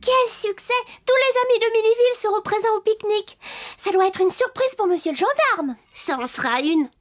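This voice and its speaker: An actor voicing a cheerful, eager little toy in a French children's cartoon, expressive and friendly. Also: extremely high pitch, brisk. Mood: playful. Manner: bright-eyed, expressive, friendly. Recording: music behind the voice.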